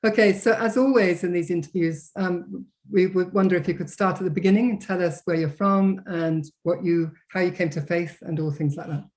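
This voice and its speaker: A woman's voice: lowish voice, brisk, few pauses, darkish tone. A woman hosting an interview, welcoming viewers and introducing a guest with many hesitant fillers. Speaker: interview host.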